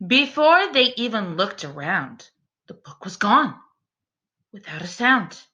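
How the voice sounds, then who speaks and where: A woman teacher reading a picture book aloud to children expressively and deliberately, pausing to put questions directly to her young listeners. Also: mid-range voice, sing-song, sharp tone.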